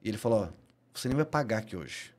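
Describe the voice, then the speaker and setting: A man's voice: fairly low pitch, expressive, fast talker, a little mellow. A middle-aged Brazilian man telling stories as a podcast guest, emphatic and given to repeating key phrases. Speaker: podcast guest, personal trainer. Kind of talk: animated storytelling in a podcast interview.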